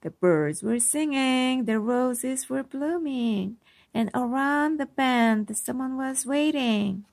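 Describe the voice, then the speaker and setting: A woman reading a children's storybook aloud as a mother would to her child, with expressive narration. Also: high voice, takes it slowly, vocal fry, no echo.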